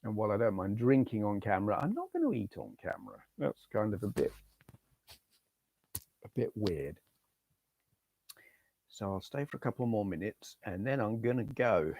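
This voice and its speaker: A middle-aged man with a hoarse voice, chatting casually to viewers on a retro gaming live stream and reading out their names. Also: lowish voice, very animated, moderate pace, long pauses, darkish tone, slight background noise. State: hoarse, losing his voice.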